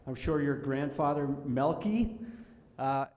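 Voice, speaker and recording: A man from Massachusetts introducing an award winner at a reception, halting with many repeated words. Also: fairly high pitch, expressive, darkish tone. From Massachusetts, USA. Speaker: presenter introducing an honoree. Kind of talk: speech at a reception. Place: banquet hall. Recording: reverberant hall.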